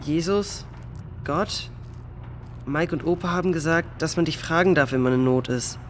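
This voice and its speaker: An earnest oldest boy, played by a male actor, in a children's audio play. His voice sits fairly high, with faint ambience behind it, and he sounds like the responsible one. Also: normal speed, slight background noise, lightly acted. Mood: pleading, quiet. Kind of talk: children's audio drama acting.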